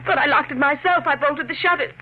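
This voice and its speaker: An actress as an earnest, anxious radio-drama wife. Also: female voice, sits high, fast, lightly acted. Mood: shaken, doubtful. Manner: earnest, tense, melodramatic. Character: anxious, doubting wife of a suspected killer. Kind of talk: 1950s radio thriller acting. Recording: muffled, phone-like 1950s radio, faint noise.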